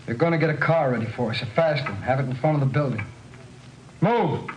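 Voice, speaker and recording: An actor playing a desperate, bullying American criminal in 1950s radio crime drama, barking orders in rough slang. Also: male voice, mid-range voice, brisk, close to an everyday voice. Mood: triumphant, commanding. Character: desperate, bullying criminal with a bomb, devoted to his brother. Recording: noisy, clicking old radio recording.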